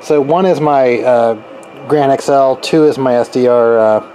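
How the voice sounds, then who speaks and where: A man's voice: medium pitch, a little slow, dark tone. A man thinking aloud in a casual, rambling hands-on demonstration, recorded on a handheld camera in a garage workshop. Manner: rambling, informal. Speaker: radio repair hobbyist reviewing gear. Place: cluttered garage workshop with open door. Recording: noisy workshop with radio hiss and hum. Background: radio static and hum, ambient noise.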